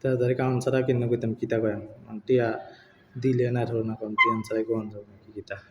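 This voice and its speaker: A man's voice: medium pitch, little pitch movement, quick pace, very noisy. A middle-aged man, talking casually with his daughter on a phone call in rambling, mumbled replies. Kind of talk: casual family phone chat. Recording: echoey, muffled phone call.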